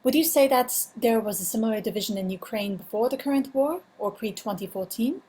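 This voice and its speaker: A woman's voice: on the high side, a little fast, dark tone, poor audio. A woman moderates an online panel over a video call. She thanks the guest politely and reads out questions from the audience.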